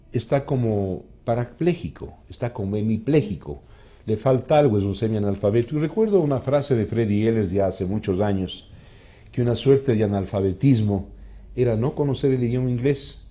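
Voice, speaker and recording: A man's voice: medium pitch, expressive, normal speed, dull audio. A man with an Ecuadorian accent hosting a radio interview, speaking in an emphatic, rhetorical, opinionated way. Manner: emphatic, rhetorical, repeats questions for effect. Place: radio studio.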